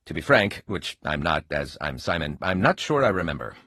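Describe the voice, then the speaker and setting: A man playing an earnest, eccentric superhero in a video game, speaking in a husky voice with a pompous, formal, dramatic delivery. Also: a bass voice, big pitch swings, normal speed, sharp tone, no room sound, clean studio sound, exaggerated voice. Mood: solemn, quirky. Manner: pompous, stilted, solemnly dramatic heroic delivery. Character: earnest, eccentric male laser-eyed superhero, formal and oddly literal. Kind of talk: video game character voice acting.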